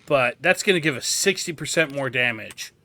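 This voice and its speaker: A man's voice: medium pitch, animated, normal speed, sharp tone, a little hiss or hum. A man giving a casual game walkthrough, explaining things as he goes and thinking aloud. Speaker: gameplay guide host.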